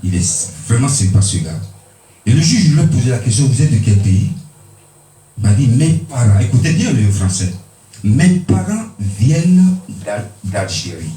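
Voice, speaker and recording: A man from Benin preaching a sermon, emphatic, with pitch swinging high and low for stress. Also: big pitch swings, normal speed, dark tone. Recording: echoey room, mic at a distance.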